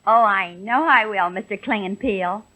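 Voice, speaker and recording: A woman's voice: mid-range voice, moderate pace, sharp tone, lightly acted. A woman acting a sweet newlywed farm wife in an old radio comedy. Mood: sweet, polite. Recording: noisy old radio recording.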